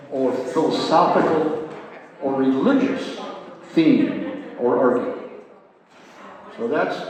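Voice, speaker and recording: An elderly man gives a live poetry reading in a reverberant hall, picked up from some distance. His delivery is slow and measured, with deliberate pauses between phrases. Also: on the high side, a little mellow. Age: 80s. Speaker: poet and author reading own work. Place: art gallery, live reading event. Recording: very echoey hall, distant microphone, faint noise.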